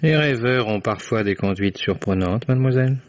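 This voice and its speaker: An actor playing a courteous, persistent lawyer who questions deliberately, in the style of 1950s French radio drama. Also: male voice, on the low side, heavy background noise, close to an everyday voice. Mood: courteous, probing. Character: courteous, persistent male New York lawyer investigating a case. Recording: dull, band-limited vintage radio recording.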